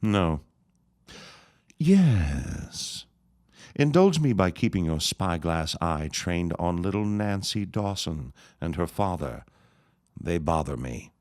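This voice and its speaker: An actor playing a scheming, vengeful elder reverend in a period radio drama, speaking in a pompous, florid, sneering manner. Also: male voice, deep voice, very animated, slow, a little mellow, dry recording, studio quality, exaggerated voice. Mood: scheming, menacing.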